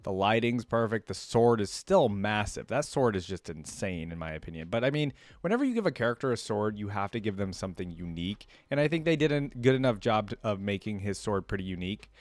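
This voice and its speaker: A man's voice: lowish voice, big pitch swings, brisk, hardly any pauses, dry recording. A man giving casual reaction commentary as a video host, rambling and thinking aloud.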